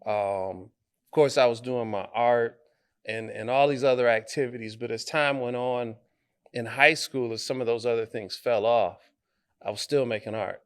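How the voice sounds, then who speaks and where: Smooth-voiced man, thoughtful and halting. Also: medium pitch. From Detroit. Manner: thoughtful, halting, many fillers and restarts. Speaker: guest artist and educator. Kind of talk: conversational interview.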